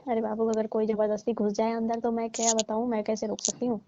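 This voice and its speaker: Woman playing a coaxing, mellow-voiced flirty auntie. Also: fairly high pitch, completely flat delivery, fast, a little hiss or hum, close to an everyday voice. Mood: teasing. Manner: coaxing, teasing, playful. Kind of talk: staged comic phone-call conversation. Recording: dull, lo-fi phone call recording.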